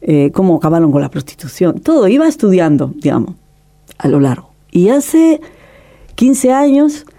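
Woman, middle-aged, documentary filmmaker, very mellow dark timbre, emotional, repeats words. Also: on the low side, big pitch swings, normal speed. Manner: passionate, emotional, many restarts. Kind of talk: interview, personal storytelling.

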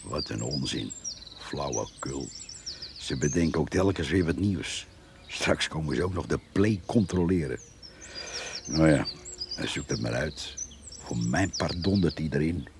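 An actor playing a grumbling, chatty older countryman in a radio play, gravelly, muttering and folksy. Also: rumbling low, lively intonation, a little slow, long pauses, noisy background, full character voice. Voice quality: gravelly, husky. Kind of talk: Dutch radio play acting.

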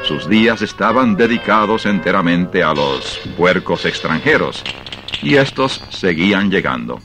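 A man narrating a dramatized short story for radio in an expressive, measured storytelling style. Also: deep voice, music in the background.

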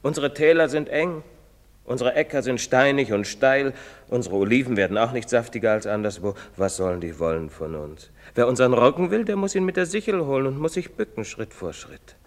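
A man's voice: mid-range voice, expressive, fairly quick, natural voice. A man playing a fatherly, preaching village priest. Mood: admonishing. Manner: gentle, admonishing, preacherly. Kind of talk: stage play recording. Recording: long hall reverb.

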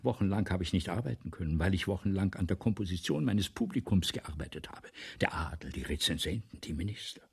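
An actor playing a vain, excitable playwright in a German radio play, with a pompous, theatrical, lecturing manner. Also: male voice, low voice, brisk, vocal fry, hardly any pauses, slightly rough, heavily acted. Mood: tense, self-assured. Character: vain, excitable, self-dramatizing 19th-century male playwright.

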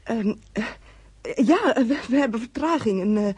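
An actress in a radio drama playing a guarded, evasive wife who speaks politely and haltingly on the telephone. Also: female voice, fairly high pitch, lively intonation, a little mellow, husky, no echo. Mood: nervous improvising.

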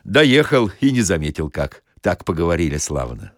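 A man's voice: low voice, big pitch swings, moderate pace, no echo, studio quality, voice pushed down. A man voicing an easygoing, sympathetic working man in a comic audio story, chatting in folksy colloquial speech. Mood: grateful.

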